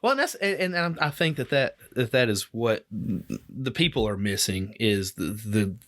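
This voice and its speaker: A man chatting on a homemade podcast, rambling along with lots of restarts. His voice sits at an ordinary middle pitch. He talks in a loose, unscripted way. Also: expressive, moderate pace, a bit bright. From Alabama. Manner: rambling, restarts often, chuckles. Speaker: podcast co-host. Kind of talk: casual podcast banter.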